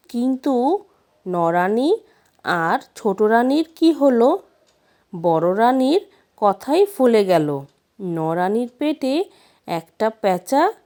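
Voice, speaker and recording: Woman reading a fairy tale, kinda high voice, soft mellow tone, really takes her time. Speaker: storyteller. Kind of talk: scripted fairy tale narration.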